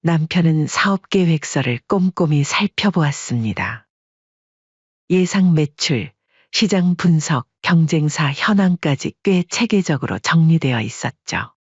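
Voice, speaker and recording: A woman reads a family drama aloud with a steady storytelling tone and a breathy voice. The recording is dry and somewhat dull, without room sound. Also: low voice, measured pace, dry recording. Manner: even, storytelling delivery. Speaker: narrator. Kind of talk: scripted story narration.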